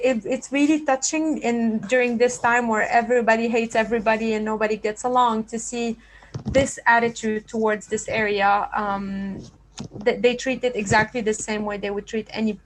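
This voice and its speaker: A woman's voice: fairly high pitch, slight background noise, low-quality recording. Earnest young woman on a video call. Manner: earnest, repeating words when moved. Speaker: archaeology project member. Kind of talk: video call panel remarks.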